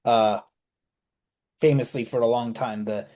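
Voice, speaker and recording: A man's voice: medium pitch, fairly even intonation, measured pace, dark tone. A young man, a student guest on a radio interview, talking casually with lots of fillers and restarts. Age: young adult. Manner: rambling, many fillers and restarts. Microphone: studio mic.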